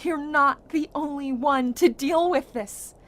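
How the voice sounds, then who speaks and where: A woman's voice: high voice, slow pace, a bit bright. A woman playing a guarded, guilt-ridden young survivor in an amateur zombie apocalypse audio drama. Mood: exasperated, scolding.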